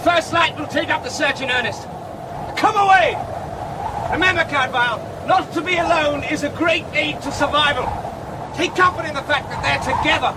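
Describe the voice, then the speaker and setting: Forceful leader, extremely high raised voice, urgent, period radio play, busy noisy outdoor backdrop. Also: male voice, moderate pace. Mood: urgent, firm. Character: forceful man in charge of a snowbound search. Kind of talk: period radio drama acting. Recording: noisy outdoor scene, busy crowd ambience.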